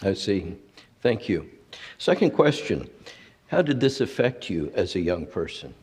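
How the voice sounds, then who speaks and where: Man, husky, interviewer, short polite cues, slightly low voice. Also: very animated, unhurried, a little mellow, in a large hall. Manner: brief, polite prompts. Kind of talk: formal interview moderator.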